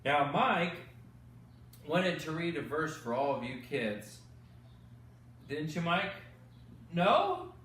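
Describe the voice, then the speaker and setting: A man with a slightly high, swooping voice hosts Bible bedtime stories for children. He teaches gently, repeating phrases and asking exaggerated questions. The recording is echoey and a bit distant from the mic, with faint noise. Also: very animated, takes it slowly, long pauses.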